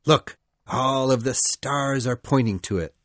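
A woman's voice: a bass voice, measured pace, no echo, voice pushed down. A woman reading aloud, voicing a boastful, fearless young boy leader in a matter-of-fact, cocky way.

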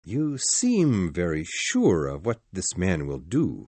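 A man acting a grave, formal gentleman in a gothic mystery audiobook, speaking in a deliberate, earnest way. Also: sits low, huge pitch swings, breathy edge, dry recording, exaggerated voice. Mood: grave, probing. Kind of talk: dramatised audiobook dialogue.

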